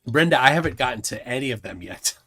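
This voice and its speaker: A man's voice: medium pitch, lively intonation, fast, sharp tone, husky. A man hosting a casual livestream, reading out viewer comments and laughing often. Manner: chatty, reads chat comments aloud, laughs often. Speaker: livestream host.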